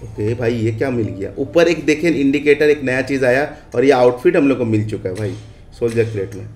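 A man's voice: mid-range voice, brisk, darkish tone. Echoey Indian man doing casual game commentary. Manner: conversational, frequent checking tag phrases. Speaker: gaming video creator and host. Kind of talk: casual screen-capture commentary on game updates. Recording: roomy echo on voice.

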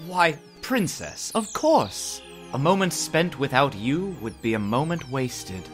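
A man's voice: fairly high pitch, very animated, takes it slowly. A man voicing a gallant, pompous but cowardly young knight with a theatrical, formal manner in a cartoon fan dub. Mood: smitten. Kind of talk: animated comic fan dub. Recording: music behind.

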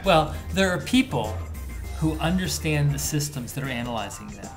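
The middle-aged author in an office interview, leaning into every explanation while music plays behind him. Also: male voice, a little high, expressive, normal speed. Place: indoors in an office room.

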